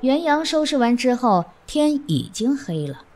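Brief: female narrator, calm storytelling, lively pitch, soft music bed. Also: normal speed. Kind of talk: scripted narration.

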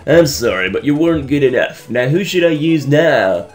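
A young man with a Belgian accent, raspy from just waking up, giving rambling, joking commentary over a game he is playing and often stumbling over his words. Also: fairly high pitch, animated, normal speed, soft background music. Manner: rambling, stammering restarts, joking, laughs often. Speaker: let's play host. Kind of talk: casual gameplay commentary.

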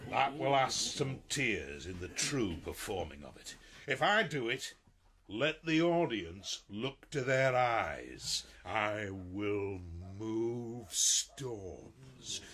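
An actor with a British accent playing a boastful, stage-struck weaver in a Shakespearean radio comedy, pompous and hammy. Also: male voice, on the low side, expressive, takes it slowly, fairly bright tone, slightly rough, full character voice. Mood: self-important.

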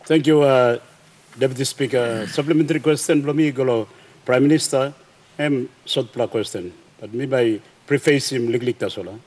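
A middle-aged Papua New Guinean man, a governor asking a question in a parliament chamber, speaking haltingly with many fillers and restarts. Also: mid-range voice, darkish tone. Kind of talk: parliamentary question time, mixing Tok Pisin. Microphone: desk mic behind a plexiglass screen.

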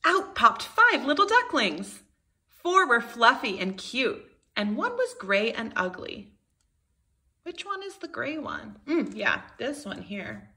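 A woman's voice: fairly high pitch, big pitch swings, slow pace, sharp tone. A woman in her thirties, a kindergarten teacher reading a storybook aloud expressively to young children.

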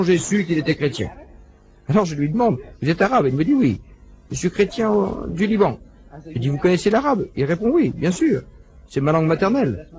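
A man delivers a translated voice-over of a lecture in a slightly raised voice. He tells the stories with lively swings in pitch and plays out every quoted exchange. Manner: animated storytelling, acting out quoted dialogue.

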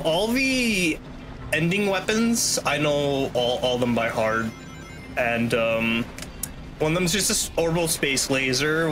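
Higher-voiced young man, casual gameplay commentary. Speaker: speedrunner. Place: indoor room at home, webcam stream. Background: video game music and vehicle-like game sounds.

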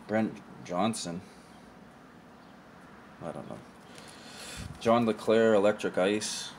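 A young man with a slightly low voice talks casually into a table camera in his room, showing off cards. His delivery is offhand and mumbly, often trailing off mid-thought. A faint room hum sits behind him. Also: darkish tone. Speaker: card collector vlogger. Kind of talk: casual card showcase commentary. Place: indoor room with shelf of collectibles.

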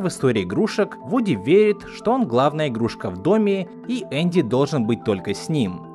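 A man narrating a scripted explanatory video essay in a measured, didactic manner. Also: a little high. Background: background music.

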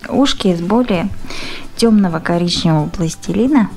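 A woman's voice: fairly low pitch, normal speed, a little mellow, faint music behind. A woman giving step-by-step craft instructions in short phrases, full of fond diminutives. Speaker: craft tutorial presenter.

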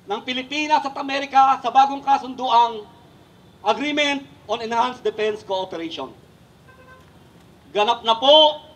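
High-pitched young Filipino man making a rally speech. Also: fairly bright tone. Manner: declamatory, emphatic. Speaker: protest speaker. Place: outdoor protest rally stage. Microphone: handheld microphone through PA.

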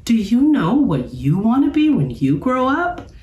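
A woman's voice: fairly high pitch, very animated, measured pace, a little mellow, pitched above the performer's own voice. A woman voicing an enthusiastic, thoughtful little girl bear cub from a storybook, with stretched-out childlike delivery. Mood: curious. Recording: roomy, echoing indoor sound.

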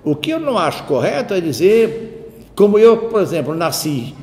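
A man's voice: high voice, sing-song, moderate pace, a little mellow. A man giving a university lecture, reasoning aloud in a discursive, digressive way and checking in with tag questions. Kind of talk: spoken lecture. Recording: roomy hall with faint ambient noise.

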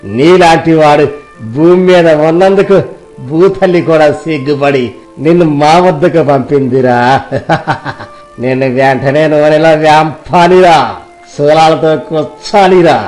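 A man's voice: a little high, steady tone, unhurried, a little mellow, faint music behind, natural voice, low-quality recording. Man playing cackling, cruel underworld demon guard. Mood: scornful, threatening. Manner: menacing, taunting, cackling. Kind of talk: dramatised moral story.

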